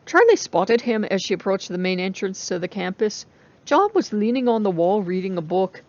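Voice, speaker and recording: A woman's voice: mid-range voice, animated, normal speed, a little mellow, lo-fi sound. A woman giving a scripted narration of a story as a dramatic reading.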